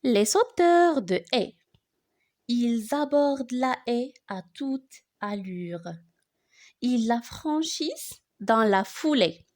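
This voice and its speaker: A woman reciting a poem for learners in an expressive way, phrasing it deliberately line by line. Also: a little high.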